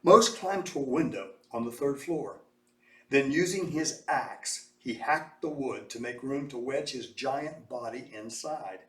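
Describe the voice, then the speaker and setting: A man reading a children's story aloud with animated, emphatic, dramatic delivery. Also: medium pitch, measured pace. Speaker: storybook reader. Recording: echoey room, distant mic.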